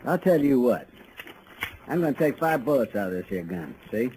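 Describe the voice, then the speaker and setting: A slightly noisy old radio Western recording of a man acting as a menacing frontier heavy. He taunts in a folksy drawl, his pitch swinging widely. The figure is a smug small-town villain. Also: very animated, moderate pace, darkish tone, lightly acted.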